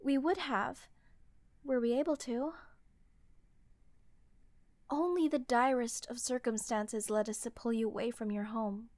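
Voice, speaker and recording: A woman's voice: a little high, fairly even intonation, a little slow, long pauses, darkish tone, no room sound, natural voice. A woman playing an earnest, easily scandalized queen with a formal, ceremonious manner in a fantasy audio roleplay. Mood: reverent, pleading. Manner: ceremonious, proper, reverent.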